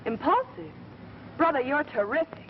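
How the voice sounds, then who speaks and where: Wisecracking, lively 1930s career woman. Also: high-pitched, normal speed. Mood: teasing, curious. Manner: wry, snappy, teasing. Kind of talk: 1930s screwball film acting. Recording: dull, noisy vintage film soundtrack.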